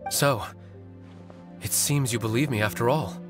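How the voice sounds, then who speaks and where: A man playing a calculating, vengeful novelist in a video game, speaking in a measured, theatrical, literary way. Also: medium pitch, over music, close to an everyday voice. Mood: coy. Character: eloquent, brooding male novelist, calculating and vengeful.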